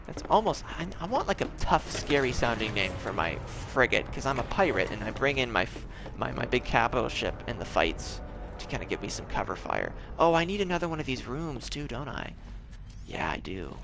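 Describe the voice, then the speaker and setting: A man's voice: mid-range voice, very animated, fairly quick, noisy background. A man casually commenting on his game for a live stream, rambling and tripping over his words as he plays at home. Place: home, gaming on a TV.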